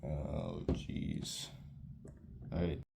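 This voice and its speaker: Low-voiced man, halting and muttering, unscripted casual demo, unhurried pace, noticeable background noise. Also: sing-song, warm tone. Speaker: hobbyist demonstrating weather radios. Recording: clear background noise with clicks.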